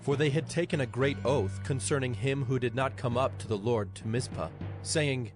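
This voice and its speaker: A man's voice: medium pitch, moderate pace. A man giving a scripted narration of a dramatized scripture audio production. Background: background music.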